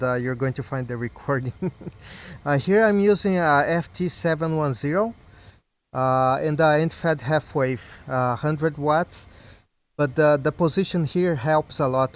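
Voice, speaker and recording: Male ham radio operator, mid-pitched, friendly and casual, spells in phonetic alphabet.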